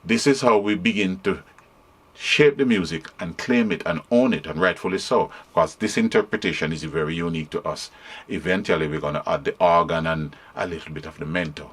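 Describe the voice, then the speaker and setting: An older man from Jamaica, casually explaining music history and pausing to play examples on a keyboard. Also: low-pitched, a bit bright, husky. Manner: teaching, stops to demonstrate on keyboard.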